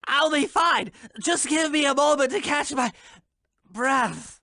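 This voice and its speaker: Nerdy turtle brainiac for a video game, high nasal sharp voice, wordy, super dry sound. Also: male voice, takes it slowly, no echo, exaggerated voice. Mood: flustered. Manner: precise, wordy, bookish. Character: nerdy brainy male turtle, small, earnest and fussy. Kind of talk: video game character voice acting.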